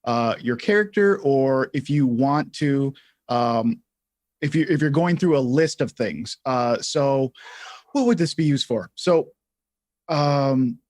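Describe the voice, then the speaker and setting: Man, medium pitch, dry close sound, tutorial co-host, informal, halting, thinking aloud. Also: darkish tone, no echo. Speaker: livestream co-host and software instructor. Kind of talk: casual live tutorial talk.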